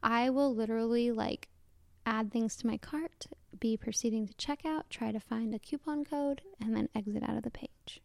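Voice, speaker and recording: Chatty woman with a gravelly young voice. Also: on the high side, normal speed, no room sound, clean studio sound. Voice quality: youthful-sounding voice. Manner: chatty, rambling with many likes and restarts. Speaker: podcast co-host. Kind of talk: casual chat.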